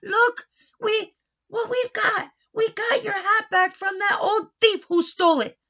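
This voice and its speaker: A woman acting out eager, hot-headed young boys from a storybook, often shouting and accusing. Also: extremely high pitch, measured pace, highs cut off, pitched above the performer's own voice, exaggerated voice. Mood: excited.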